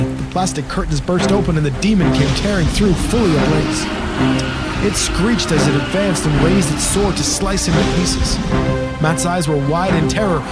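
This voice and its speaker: A man narrating a scripted horror audio drama in a dramatic storytelling style. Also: on the high side. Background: storm rain sound effects and music.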